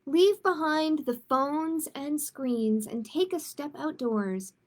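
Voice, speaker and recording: A woman speaking as a children's storytime host, in a measured manner suited to picture books and simple chat with families. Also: sits high. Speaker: children's librarian hosting storytime. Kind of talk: picture book read-aloud, then casual announcements.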